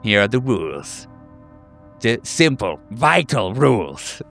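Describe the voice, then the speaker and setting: A man voicing a fawning, sinisterly earnest group therapy doctor in a tabletop game, halting and repeating himself emphatically with nervous chuckles. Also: lowish voice, very animated, vocal fry, a bit bright, soft background music, full character voice. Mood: earnest, solemn. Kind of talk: theatrical group-session address.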